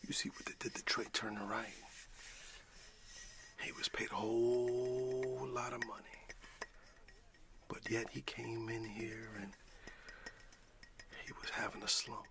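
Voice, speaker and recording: A man playing a warm, excited father who talks affectionately and in a folksy way to his young son in a breathy whispered ASMR roleplay. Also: medium pitch, noisy background, close to an everyday voice. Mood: excited, admiring. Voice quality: breathy whisper with gravelly creak. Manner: unhurried, folksy, affectionate, with long pauses.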